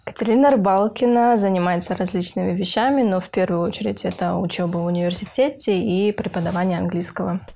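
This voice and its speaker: A young woman, a university student from Kyrgyzstan, speaking earnestly in an interview, pausing with hesitant filler sounds and restarting her phrases. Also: fairly low pitch, creaky, warm tone, slight background noise. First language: Russian. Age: young adult. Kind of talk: interview for a university news feature.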